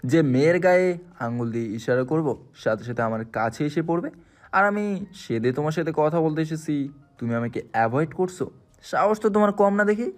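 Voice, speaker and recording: Man acting as arrogant young playboy, highish male voice, taunting, menacing, audio drama over light music. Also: expressive, moderate pace, natural voice. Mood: arrogant, threatening. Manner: cocky, taunting. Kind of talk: acted romance audio drama.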